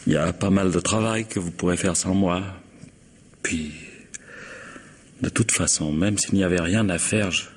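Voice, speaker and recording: Deep, husky, weary accused widower. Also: male voice, moderate pace, long pauses, in a large hall. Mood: resigned, tender. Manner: polite, resigned, wry. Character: weary, courteous middle-aged widower accused of murdering his wife. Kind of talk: 1950s French radio drama acting.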